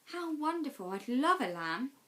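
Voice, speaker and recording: A woman's voice: high voice, very animated, normal speed, bright, forceful tone, pitched above the performer's own voice, heavily acted. Storybook lead: lonely young girl, earnest and eager, acted by a woman reader. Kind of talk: children's storybook character dialogue.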